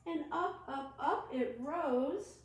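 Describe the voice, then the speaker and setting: A woman children's librarian reading aloud to young children in a deliberate, expressive, sing-song way. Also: sits high. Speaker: children's librarian hosting storytime. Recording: roomy, echoing room sound.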